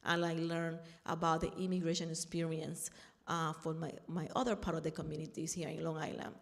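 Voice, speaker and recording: A woman's voice: low voice, level tone, fairly quick, creaky. Middle-aged Puerto Rican woman, Spanish accent, earnest panel speaker; reverberant room. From Puerto Rico. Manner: earnest, searching for words, frequent restarts. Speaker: panel speaker, artist and activist. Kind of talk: personal talk at a panel.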